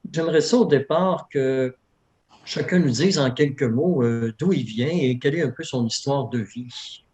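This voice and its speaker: A man, a friar gently leading and questioning adult baptism candidates at a church presentation. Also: medium pitch, normal speed. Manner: gentle, encouraging, with fillers. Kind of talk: welcoming church presentation, interviewing.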